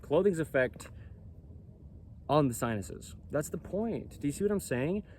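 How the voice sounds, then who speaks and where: Boomy-voiced man explaining emphatically. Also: lively intonation, measured pace, a little mellow, slight background noise. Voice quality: boomy projecting voice. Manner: emphatic, repetitive, asks "right?" and "okay?". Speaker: clothing company founder. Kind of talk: self-recorded explainer monologue.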